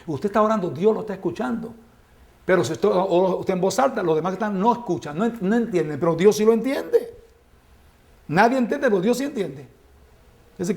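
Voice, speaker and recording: A middle-aged man teaches scripture in a high, gravelly voice. He reads a verse, then explains it plainly, often asking the listeners rhetorical questions. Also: darkish tone. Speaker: Bible teacher. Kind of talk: Bible study lecture.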